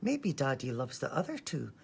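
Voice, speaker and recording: A woman's voice: rumbling low, sing-song, normal speed, vocal fry, breathy edge, full character voice. A woman voicing small, anxious baby bears from a children's storybook in a wondering, plaintive, childlike manner. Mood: worried, plaintive.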